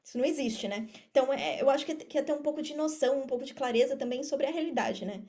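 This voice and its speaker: A woman's voice: sits high, fast talker, dark tone. A Brazilian woman in her thirties speaking Portuguese with a Brazilian accent, explaining things informally as an interview guest, with emphatic repetition. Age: 30s. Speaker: podcast guest, affiliate marketer and mentor. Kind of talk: conversational interview answers.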